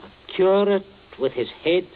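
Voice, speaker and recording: Middle-aged patriarch, polite schemer, stammering and coolly threatening; vintage radio play, narrow muffled sound with faint noise. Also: male voice, high voice, lively intonation, a little slow. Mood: tense, calculating. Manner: stammering, forced chuckles, clipped politeness. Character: genteel scheming family patriarch, nervously jovial, coolly menacing. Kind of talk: old-time radio drama acting.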